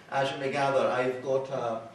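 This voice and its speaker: A man's voice: mid-range voice, steady tone, slow pace, slight background noise. A Glaswegian man hosting a meeting and introducing speakers, hesitant with many ums and restarts. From Scotland. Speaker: event host. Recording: echoey hall, voice far from mic.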